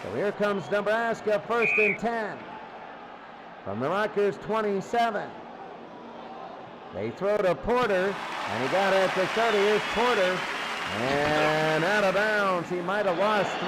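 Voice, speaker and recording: A man's voice: high-pitched, animated, unhurried. A man calling live football play-by-play as a sports announcer. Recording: loud crowd noise behind voice. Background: loud stadium crowd.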